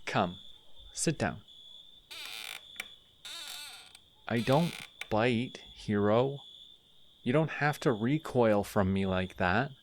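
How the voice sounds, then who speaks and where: A man voicing a sardonic male telepathic villain in an audio roleplay, mocking and deliberate. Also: lowish voice, expressive, a little hiss or hum. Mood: gentle.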